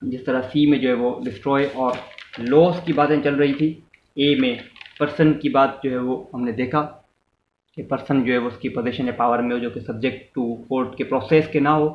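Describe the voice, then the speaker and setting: A man's voice: mid-range voice, steady tone, normal speed. A man with a Pakistani accent gives an informal explanatory law lesson, repeating and rephrasing his points and slipping into English legal terms. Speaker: law lecturer. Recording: echoey room, muffled, faint noise.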